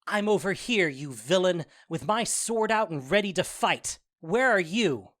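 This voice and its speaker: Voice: mid-range voice, animated, unhurried, fairly bright tone. An actor playing a mischievous goblin sprite in an audio drama, theatrical and playful in delivery. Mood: taunting. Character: Puck, mischievous goblin sprite serving the fairy lord. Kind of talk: audio drama acting of modernized Shakespeare.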